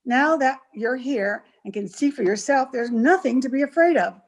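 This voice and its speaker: A woman's voice: high voice, normal speed. An actress playing a domineering, hard-headed, scornful woman, bossy and impatient, in the style of a 1930s radio horror play. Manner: bossy, sharp-tongued, impatient.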